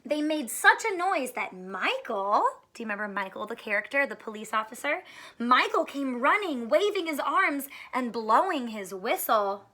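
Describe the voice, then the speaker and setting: Bright, high-pitched woman reading to children. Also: normal speed. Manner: expressive, questioning, talks directly to young children. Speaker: elementary school teacher reading aloud to students. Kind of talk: animated children's storybook read-aloud with asides.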